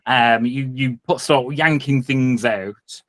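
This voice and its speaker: A man's voice: mid-range voice, takes it slowly, no echo. A man running a tabletop roleplay game as game master, narrating casually and often searching for his words.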